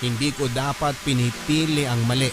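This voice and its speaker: A man narrating a first-person letter in a calm, steady reading. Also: medium pitch, moderate pace. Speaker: letter reader. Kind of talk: scripted narration. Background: music.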